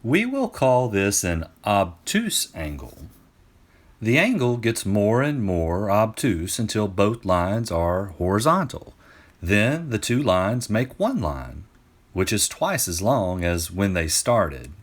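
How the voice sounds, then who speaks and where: A man's voice: lowish voice, sing-song, slow, exaggerated voice. A man voicing an eager ancient Greek geometry teacher in a children's storybook, explaining patiently and with emphasis.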